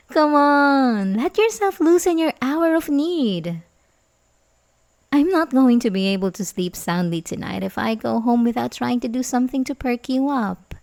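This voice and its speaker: Slow, sweetly coaxing young babysitter played by a woman. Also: high voice, animated, creaky, a little mellow, full character voice. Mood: coaxing, playful. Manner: hesitant, halting, with little giggles. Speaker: ASMR roleplay voice actress. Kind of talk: ASMR roleplay acting, intimate one-sided dialogue.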